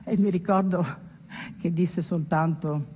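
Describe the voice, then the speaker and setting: In a stage monologue, a woman plays a ship's musician retelling his friend's legend, emphatic, with long suspenseful pauses and sudden outbursts. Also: fairly low pitch, steady tone, dark tone, close to an everyday voice. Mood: wistful. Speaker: stage actor. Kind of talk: theatrical monologue, dramatic storytelling. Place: theatre hall, live stage performance. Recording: reverberant hall recording with clear background noise.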